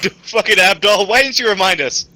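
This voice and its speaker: A man's voice: high-pitched, fairly quick, sharp tone, no room sound. A man joking as a tabletop game player, full of mock-indignant complaints. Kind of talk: casual joking banter during roleplay game.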